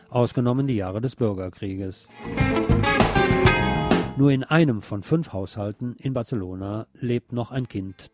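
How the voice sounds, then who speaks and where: A man's voice: lowish voice, dark tone. A man presenting local news on the radio in a scripted reading style.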